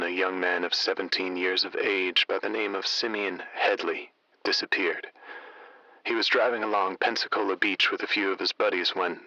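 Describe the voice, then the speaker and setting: A man's voice: deep voice, pushed and bright, dry recording, dull audio. An actor playing a male late-night conspiracy radio host in an audio drama, wordy, theatrical and insinuating. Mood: grave, mysterious.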